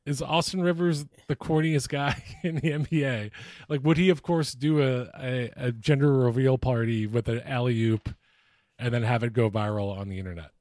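A man's voice: medium pitch, fairly even intonation, moderate pace, darkish tone, no room sound, studio quality. A man co-hosting a comedic sports podcast in casual chat, with a mocking, profane streak. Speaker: podcast host.

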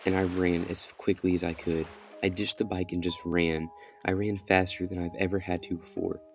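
Low, creaky young man narrating horror. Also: little pitch movement, normal speed. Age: young adult. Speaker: podcast host and story reader. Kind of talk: dramatic first-person horror story narration. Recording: music behind the voice.

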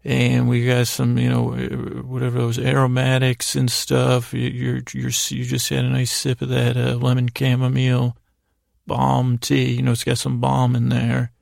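Male podcast host, very gravelly fry, flat intonation, soothing, meandering bedtime monologue, halting, self-deprecating. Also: medium pitch, no echo, studio quality. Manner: meandering, halting, frequently derailing his own sentences. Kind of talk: rambling bedtime monologue to help listeners fall asleep.

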